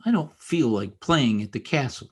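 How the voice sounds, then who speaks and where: An actor playing a proud, brooding young male outcast in an old-time radio drama, intense and sullen with a husky voice. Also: medium pitch, expressive, normal speed.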